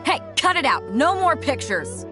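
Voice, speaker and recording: A woman's voice: high voice, very animated, normal speed. An actress voicing an indignant, feisty young woman in a video game, huffy and exclaiming. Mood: indignant, annoyed. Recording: music behind the voice.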